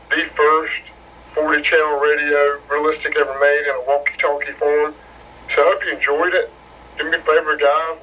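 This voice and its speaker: A man's voice: a little high, phone-quality sound, slight background noise. Plain-spoken man reviewing gear. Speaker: host of a radio hobby channel. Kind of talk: casual product review.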